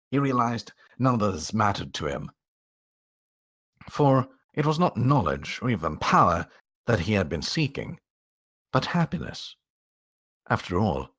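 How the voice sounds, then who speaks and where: A man narrates a story in a low, husky voice. His delivery is theatrical, swinging widely in pitch, with drawn-out emphasis and dramatic pauses. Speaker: amateur narrator reading a story aloud.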